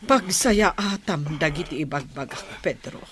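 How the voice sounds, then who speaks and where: A woman with an Ilocano accent playing a worn-out, pleading village wife in a radio drama, earnest and deliberate. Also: low voice, animated, a crowd in the background. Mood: admonishing.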